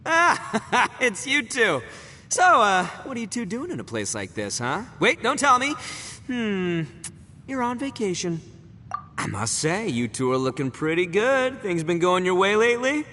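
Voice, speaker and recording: An actor voicing a boastful, rowdy male oni gang boss in a video game, brash and quick to laugh. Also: high voice, wildly swooping pitch, slight background noise, full character voice. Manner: brash, loud, laughs a lot. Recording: game audio with long reverb.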